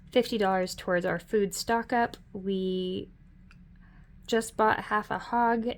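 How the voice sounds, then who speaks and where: Middle-aged woman, host of a budgeting vlog, chatty and upbeat, a touch hoarse, mid pitch. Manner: chatty and upbeat, with frequent ums and self-corrections. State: hoarse, losing her voice. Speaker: budgeting vlogger. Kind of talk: casual budget walkthrough.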